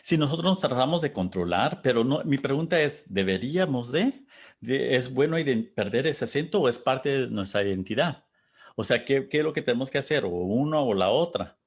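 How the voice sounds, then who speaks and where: A man from Texas hosting a panel, talking casually and reflectively, pausing to search for words and reading out audience comments. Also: mid-range voice, lively intonation, husky. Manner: thoughtful, searching for words, pauses.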